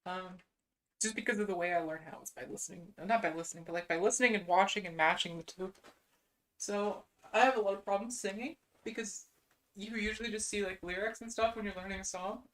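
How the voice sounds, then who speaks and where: A woman's voice: mid-range voice, brisk, low-quality recording. A young adult woman with a Midwestern American accent, chatting casually on a stream, rambling with lots of "like"s and restarts, at a desk mic. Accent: General American (Midwestern). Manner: rambling, many "like"s and restarts, self-deprecating. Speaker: VTuber streamer.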